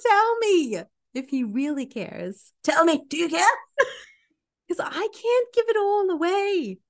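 Melodramatic woman, high swooping voice. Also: sing-song, takes it slowly. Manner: melodramatic, exaggerated delivery. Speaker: podcast co-host. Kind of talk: playful, theatrical dramatic reading of song lyrics.

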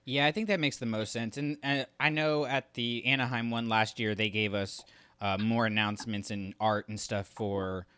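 A man's voice: fairly low pitch, steady tone, normal speed, sharp tone, clear, resonant tone, dry recording. A man co-hosting a podcast in casual, jokey, rambling chat. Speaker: podcast co-host.

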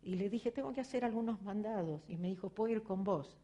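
A woman's voice: medium pitch, fairly quick, mellow, muffled recording. A middle-aged woman with an Argentine accent giving a reflective, personal motivational talk, often turning to the audience with rhetorical questions. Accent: Argentine Spanish. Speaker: spiritual speaker.

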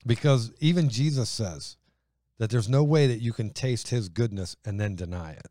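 Middle-aged dude with a booming voice, excited bible talk, dry close sound. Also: male voice, moderate pace, no echo. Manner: booming, enthusiastic, acts out quotes.

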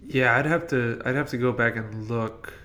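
A man's voice: medium pitch, steady tone, moderate pace, sharp tone. A man co-hosting a podcast, casually discussing films in an opinionated, emphatic way. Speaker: podcast co-host.

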